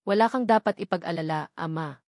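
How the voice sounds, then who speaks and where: A woman voicing a grown billionaire son, calm and restrained, holding his voice steady in a story narration. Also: fairly low pitch, fairly quick, no echo, studio quality, natural voice. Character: restrained, determined billionaire son, adult man.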